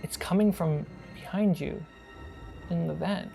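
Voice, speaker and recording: A woman running a tabletop horror role-playing game as game master, narrating conversationally with pauses mid-sentence. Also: lowish voice, creaky, mellow, husky. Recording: background music behind the voice. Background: music.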